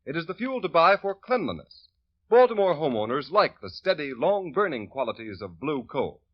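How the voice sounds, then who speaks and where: A male announcer reads an old radio commercial in an earnest, persuasive manner. His voice is slightly high and moves a lot in pitch. The recording sounds dull and old. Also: sing-song, normal speed. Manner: earnest, persuasive salesman delivery.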